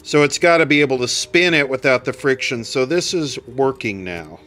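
A man's voice: mid-range voice, lively intonation, moderate pace, fairly bright tone, faint music behind. A man talking casually and matter-of-factly through hands-on repair work, rambling a little as he goes. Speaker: radio repair hobbyist, video host.

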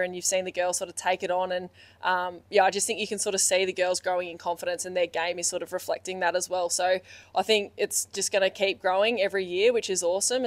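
A young woman captain rattles through her answers quickly and casually, filling gaps with ums and you-knows. Her voice sits low and level, and the sound is dry and close. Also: no echo. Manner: quick, casual, filler-heavy. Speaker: team captain. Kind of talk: post-match press conference answers. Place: indoor press room, sponsor backdrop. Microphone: handheld microphone.

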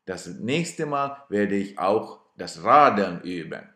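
Guy narrating, dry self-mocking tone, slow pace, roomy reverb. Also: lowish voice, lively intonation, fairly bright tone. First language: Swedish. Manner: dry, self-ironic storytelling. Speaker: cyclist narrating his trip video. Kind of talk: scripted voice-over narration.

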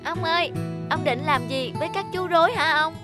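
A woman's voice: very high-pitched, a little fast. A woman voicing a small, eager, anxious child in a cartoon, speaking politely and in a childlike way. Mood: worried. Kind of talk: cartoon dubbing. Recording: music under the voice.

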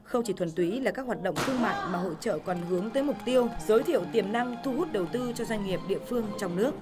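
A woman narrating a television news report in a steady, formal reading voice. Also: fairly high pitch, quick pace, over music. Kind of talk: scripted news voice-over.

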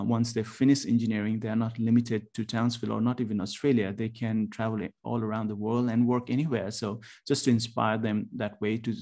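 A man from Nepal in his forties, a university lecturer giving a presentation over a video call, talking haltingly with many ums and restarts. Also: medium pitch, vocal fry, darkish tone. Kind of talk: webinar presentation.

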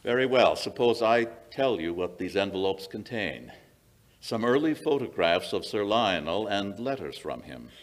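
A man's voice: fairly low pitch, very animated, normal speed. An older man playing a commanding, self-assured detective in an old-time radio drama, authoritative and explanatory. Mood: firm, confiding. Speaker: amateur radio theatre actor. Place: small indoor museum stage. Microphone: standing vintage-style stage microphone.

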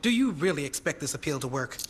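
A man's voice: a little high, big pitch swings, quick pace, a little hiss or hum. A man voicing a stern, indignant courtroom judge with formal manner in a video game cutscene.

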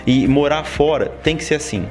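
A man's voice: a little high, moderate pace, faint music behind. A man in his forties from Brazil with an interior São Paulo accent, telling stories as a guest in a studio interview. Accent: interior São Paulo Brazilian Portuguese. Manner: storytelling, lively, many "sabe?" tags. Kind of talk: casual interview talk. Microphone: handheld mic held by interviewer.

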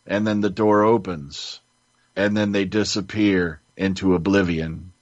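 A man with a fairly deep voice tells stories casually as a panel guest. He is emphatic and keen, recorded very dry with a slightly dull, lo-fi sound. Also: fairly even intonation, measured pace, no room sound. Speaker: podcast panelist. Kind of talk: casual storytelling chat. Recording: dull, muffled lo-fi audio.

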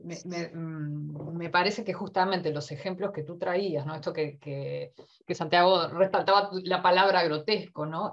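A woman's voice: fairly low pitch, muffled recording. A woman moderating an academic video call, reflective and trailing off with fillers.

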